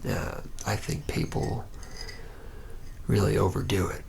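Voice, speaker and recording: Reluctant young male vampire, acted by a man, ASMR roleplay. Low, very slow, whispery, wry and halting. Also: slight background noise, exaggerated voice. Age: young adult. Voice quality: breathy whisper with gravelly creak. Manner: hesitant, halting, wry and deadpan. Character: reluctant, jaded young male vampire, former warehouse worker.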